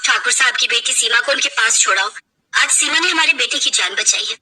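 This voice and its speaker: A woman's voice: a little high, expressive, fairly quick, bright, forceful tone, breathy edge, heavily acted. A woman voicing a conscientious, reproachful bandit's wife in a dramatic story. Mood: earnest urging.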